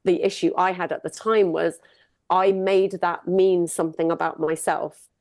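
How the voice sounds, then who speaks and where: A woman's voice: lowish voice, level tone, moderate pace, darkish tone, dry recording, studio quality. A middle-aged woman from the UK, a singer and sound healer, talking casually and upbeat in an interview. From United Kingdom. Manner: upbeat, chatty, laughs often.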